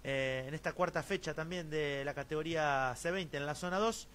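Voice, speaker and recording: A man's voice: on the high side, moderate pace, fairly bright tone, a little hiss or hum. A man with an Argentine accent hosts a local futsal news stream, reading scores and scorers with frequent fillers and restarts. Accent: Argentine Spanish. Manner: many fillers and restarts, reads long lists of scorers. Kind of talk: sports news roundup, reading results.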